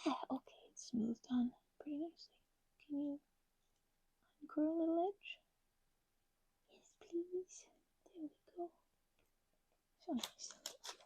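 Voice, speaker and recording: A woman hosting an ASMR channel who drifts between whispers and soft, self-mocking chatter while she crafts. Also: high voice, vocal fry, rough recording. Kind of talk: soft-spoken crafting ramble.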